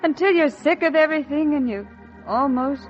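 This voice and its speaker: Wistful, bitter housewife played by a woman, high voice, melodramatic radio drama delivery, muffled vintage recording with music. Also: normal speed, close to an everyday voice. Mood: intense longing. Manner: intense, melodramatic, repeating phrases for emphasis. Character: wistful, embittered housewife yearning for one luxury. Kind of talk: 1940s radio acting, dramatic monologue and dialogue.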